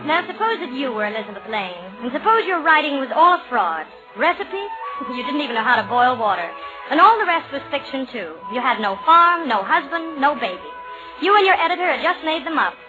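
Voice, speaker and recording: A woman's voice: high voice, big pitch swings, brisk. A woman acting a witty, exasperated young magazine columnist in a 1940s radio comedy. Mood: wry. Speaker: actress in a radio play. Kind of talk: 1940s radio acting, first-person comic narration. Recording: dull old radio recording, music behind.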